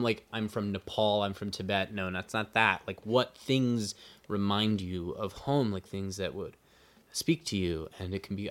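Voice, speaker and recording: Laid-back low-voiced male songwriter. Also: a bit bright. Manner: loose and chatty, many "uh" and "like". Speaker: singer-songwriter guest. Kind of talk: casual storytelling.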